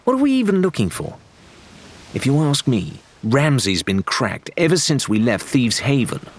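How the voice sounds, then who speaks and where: A man's voice: mid-range voice, wildly swooping pitch, normal speed, pitched above the performer's own voice. A man voicing a sneering, hot-tempered and resentful pirate crewman in an audiobook. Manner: sneering, complaining, explosive. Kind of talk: dramatized audiobook dialogue.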